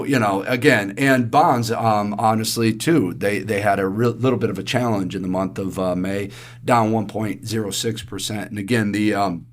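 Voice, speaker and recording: Casting: lower-voiced Midwestern man, raspy and a bit hoarse, chatty podcast advisor. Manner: chatty, explains with everyday analogies. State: hoarse from allergies. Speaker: investment advisor co-host. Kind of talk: casual podcast market commentary.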